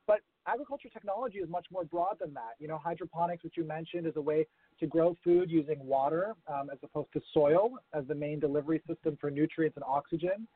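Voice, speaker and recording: A man with an American-sounding accent explains his field in a thoughtful, unhurried way, with a few fillers. His voice sits a little high. The sound is dry and thin, like a phone line. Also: slightly rough, dry recording. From grew up around the world. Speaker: guest, urban farming consultant. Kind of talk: remote interview.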